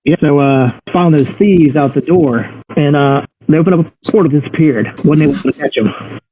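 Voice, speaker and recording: A husky-voiced man playing a tabletop game, casually role-playing over muffled, phone-like voice chat. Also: a little high, normal speed, a little hiss or hum. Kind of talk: casual in-character role play.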